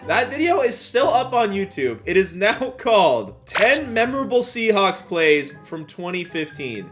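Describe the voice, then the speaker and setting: A man's voice: sits high, fairly bright tone. An American man in his twenties telling a story in a casual vlog, with slangy, self-deprecating phrasing. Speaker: YouTuber and storyteller. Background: background music.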